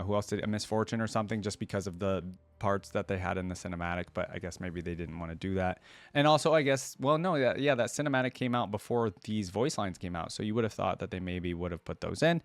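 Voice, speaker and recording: A man's voice: fairly low pitch, dry recording. A man giving casual, chatty, slangy reaction commentary as a video host.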